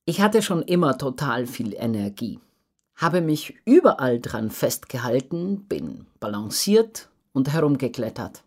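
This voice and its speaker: A woman's voice: very deep voice, very animated, measured pace, a little mellow, slightly rough. A woman giving earnest, articulate answers as an interview guest. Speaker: interview guest, professional circus acrobat.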